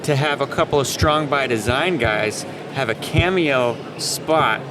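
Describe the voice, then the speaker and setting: Male co-host, average pitch, casual banter, recorded amid clear convention noise. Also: measured pace. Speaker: podcast co-host. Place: busy convention center event floor. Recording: noisy convention hall background.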